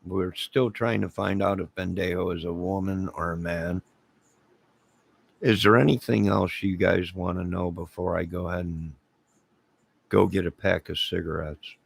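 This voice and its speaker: A middle-aged man, hoarse from singing, rambling casually to viewers on a late-night livestream and greeting them one by one. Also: deep voice, steady tone, normal speed, no room sound. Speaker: livestream host.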